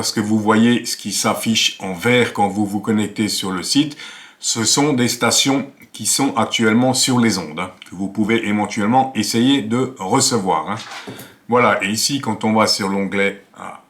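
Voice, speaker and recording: A man's voice: lowish voice, fairly bright tone. A man, presenting a casual tutorial in a conversational, explanatory way with frequent tag words. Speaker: amateur radio video host. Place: small attic home office, indoors.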